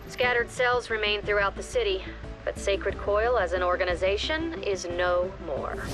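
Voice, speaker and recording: An actress playing a calm female agency director who gives measured, authoritative briefings. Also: mid-range voice.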